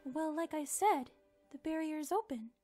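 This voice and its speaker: A woman playing a timid, sweet young female pony in an animated audio drama, hesitant, gentle and polite. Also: high voice, a little slow. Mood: polite, curious. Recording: music behind the voice.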